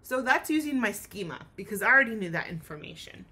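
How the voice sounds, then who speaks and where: A woman's voice: mid-range voice, animated, fast, sharp tone, breathy edge. A woman teacher giving a children's read-aloud lesson, speaking expressively and explaining things in simple terms.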